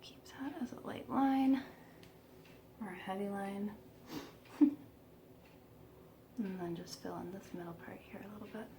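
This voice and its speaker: Woman beauty vlogger with a croaky, gravelly voice, walking through her makeup with self-mocking asides. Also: mid-range voice, a little slow, long pauses, warm tone, a little hiss or hum. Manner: chatty, self-deprecating, laughs at herself. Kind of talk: casual makeup tutorial.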